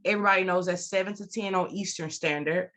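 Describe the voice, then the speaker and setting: A woman's voice: fairly low pitch, steady tone, fast, a bit bright. Woman consultant explaining her training services. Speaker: nonprofit consultant and trainer, interview guest. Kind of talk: explanatory promotional interview talk.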